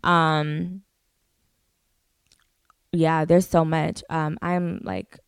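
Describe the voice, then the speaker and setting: A woman's voice: low voice, level tone, very slow, clean tone, dry recording, clean studio sound. A young woman, a singer, chatting casually as a guest, laid-back and full of "like" fillers and swearing. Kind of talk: casual interview chat.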